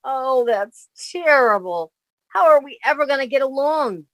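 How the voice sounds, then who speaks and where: A woman's voice: high-pitched, animated, unhurried, dry recording, rough recording. A woman playing a sensible, weary young roommate, caring and wry, in a 1950s-style radio comedy. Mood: decisive. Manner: motherly, exasperated, wry.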